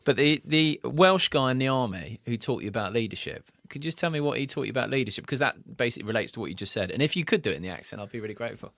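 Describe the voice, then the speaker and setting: Fast, lively male interviewer. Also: mid-range voice, vocal fry, dry recording, studio quality. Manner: friendly, probing questions. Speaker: podcast host. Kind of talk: interview questions.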